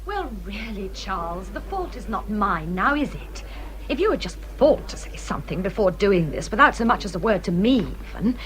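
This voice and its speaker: A woman playing a self-centred, complaining married gentlewoman in a period literary drama, fussy and indignant. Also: mid-range voice, sing-song, moderate pace, natural voice. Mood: peevish. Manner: querulous, indignant, exclamatory.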